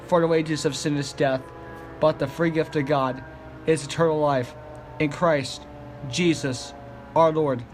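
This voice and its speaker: A man reading a solemn amateur emergency broadcast as announcer, in a grave, deliberate, halting way. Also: on the high side.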